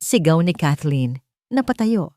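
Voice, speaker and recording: Woman reading a love story, low-ish voice that swings a lot, super dry close mic. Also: a little slow, dry recording. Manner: expressive, dramatic reading. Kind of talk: scripted narration of a romance drama.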